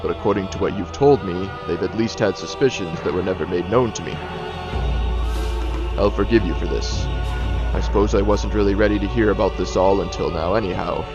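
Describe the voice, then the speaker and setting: Authoritative older male leader voiced by a man for audio drama: deep, deliberate, slightly dull sound. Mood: stern, measured. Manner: formal, deliberate. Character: authoritative older male village leader, stern but measured. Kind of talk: fan audio drama acting. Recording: dull lo-fi sound, faint music behind.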